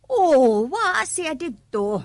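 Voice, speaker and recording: A woman's voice: sits high, big pitch swings, very slow, a little mellow, dry recording, heavily acted. An actress with a Cebuano Filipino accent playing an insistent neighbor woman who collects debts, in a radio drama. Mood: nagging, insistent. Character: insistent neighborhood creditor woman, nagging for repayment.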